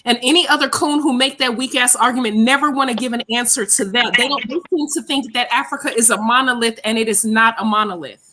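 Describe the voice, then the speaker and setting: A woman with a bright, fairly high voice lays out her case in a heated debate. She is blunt and combative, stressing her points as if lecturing the listeners. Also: quick pace, hardly any pauses.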